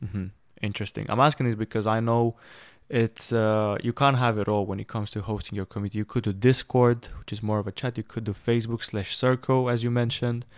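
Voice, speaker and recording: A man's voice: fairly low pitch, fairly even intonation, brisk, hardly any pauses, no echo. Rambling interviewer man, dry sound. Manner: rambling questions with fillers and side remarks. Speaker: podcast host. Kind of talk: conversational interview.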